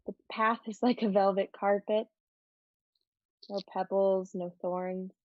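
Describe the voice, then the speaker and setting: A woman playing a dreamy, yearning adolescent girl in a stage-play reading, musing in a halting, wistful way. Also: mid-range voice, steady tone, dry recording, lo-fi sound, natural voice.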